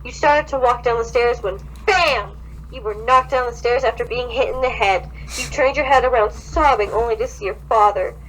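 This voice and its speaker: A high-pitched female voice with a humming, noisy background. She moves between mock-dramatic story reading and casual banter. Her delivery is sarcastic, blunt and full of swearing. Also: moderate pace, a bit bright. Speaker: podcast co-host reading fan fiction aloud.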